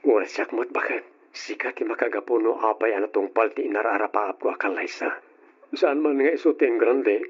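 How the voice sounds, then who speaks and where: An actor with an Ilocano accent playing a domineering, tight-fisted but doting husband in an old radio drama. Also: male voice, fairly low pitch, a little fast, slightly rough. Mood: tender. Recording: dull, lo-fi old radio recording.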